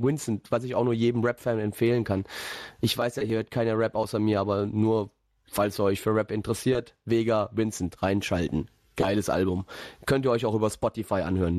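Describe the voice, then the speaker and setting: A man's voice: medium pitch, a little mellow, no echo, clean studio sound. A young man rambling through a personal story in casual podcast chat, with many fillers and restarts. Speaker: podcast host.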